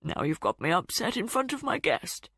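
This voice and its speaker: A woman's voice: fairly low pitch, very animated, normal speed, vocal fry, fairly bright tone, breathy edge, dry recording, studio quality, exaggerated voice. A woman doing a confused, touchy old father. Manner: forgetful, defensive, snapping.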